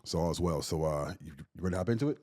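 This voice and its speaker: A man's voice: low voice, expressive, rapid-fire, warm tone, no room sound. A young man hosting a podcast in casual chat, sniffly from a cold and hesitant in his speech. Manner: hesitant, many fillers and restarts. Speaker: podcast host.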